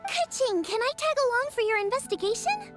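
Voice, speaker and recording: A woman's voice: extremely high pitch, lively intonation, fairly quick, a bit bright, soft background music. A woman voicing a bubbly, eager young girl cook in a video game. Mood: pleading, eager. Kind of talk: scripted video game voice acting.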